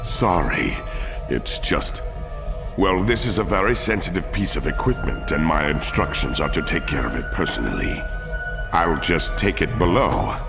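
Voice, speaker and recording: A man's voice: very deep voice, lively intonation, vocal fry, exaggerated voice. An actor playing a secretive, formal male alien who gives careful instructions in a game cutscene. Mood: guarded. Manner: formal, measured and guarded. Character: secretive deep-voiced male alien informant. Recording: game cutscene with loud music behind.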